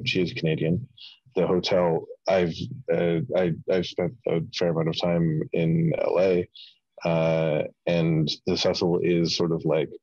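A playwright speaking in a casual interview over a video call, halting and restarting often. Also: male voice, deep voice, a little mellow, breathy edge, dry recording. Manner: halting, many restarts and fillers. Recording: dull video call audio.

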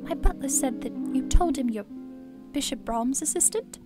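A woman's voice: sits high, lively intonation, moderate pace, loud music behind the voice. A woman playing a wealthy older widow in an audio drama, earnest, theatrical and full of feeling. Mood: guarded surprise. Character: wealthy older widow, wistful, emotional and effusive.

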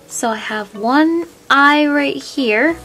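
A woman's voice: high-pitched, takes it slowly, fairly bright tone, faint music behind. A woman hosting a step-by-step drawing tutorial, friendly and easygoing, a little sniffly from a cold. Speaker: art tutorial host.

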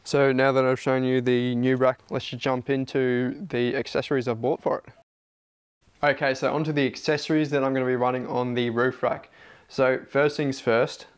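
A man's voice: mid-range voice, smooth. A man, chatting casually to camera about his car roof rack setup. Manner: relaxed, chatty, plain-spoken. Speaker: off-road vlogger. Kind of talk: casual product talk to camera. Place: outdoors in a backyard, then a plain indoor room.

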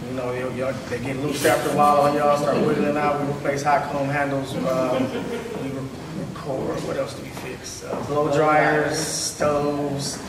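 Barber speaking in an echoey hall. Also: male voice, a little high, level tone, normal speed, far from the mic, a loud crowd. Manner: earnest, conversational, many fillers. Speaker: barber panelist. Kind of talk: panel discussion. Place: large hall with seated audience. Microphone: far camera.

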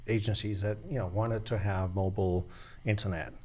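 A man in his forties from Denmark, a podcast guest telling his story in a relaxed way with many fillers, recorded on a desk mic in a small studio. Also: lowish voice, normal speed. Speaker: podcast guest, e-commerce seller. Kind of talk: casual interview chat. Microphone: desk mic on boom arm.

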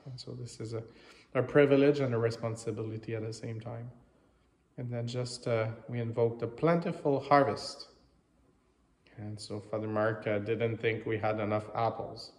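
French canadian priest guy, native french speaker, pretty flat delivery, halting homily, lots of reverb. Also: medium pitch. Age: 30s to 40s. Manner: halting, reflective, with many pauses. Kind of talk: informal homily. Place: small chapel, indoors. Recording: echoey, reverberant chapel sound.

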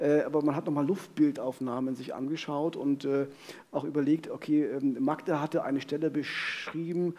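A man's voice: on the high side, fairly even intonation, moderate pace, a little mellow. A middle-aged man co-hosting a live true-crime talk, phrasing questions in a searching, self-correcting way. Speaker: co-host. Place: vaulted venue, live audience on stage. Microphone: headset.

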